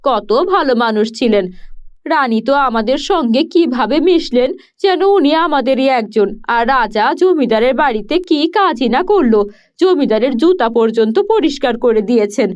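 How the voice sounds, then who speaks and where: This is a grieving cartoon villager, voiced by a woman. She laments in a high voice, and the audio is dull and lo-fi. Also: expressive, unhurried, darkish tone. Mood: lamenting. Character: grieving villager, sad and talkative.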